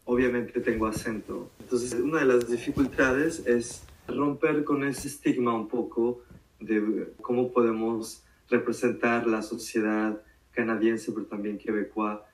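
Man from Mexico, actor in interview, slow low voice, distant mic. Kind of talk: interview clip.

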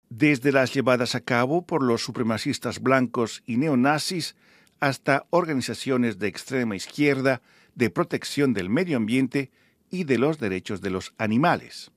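A man hosting a weekly news podcast, reading in a measured, formal way. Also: mid-range voice, no room sound. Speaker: podcast host. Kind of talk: news narration. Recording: dry studio with music bed.

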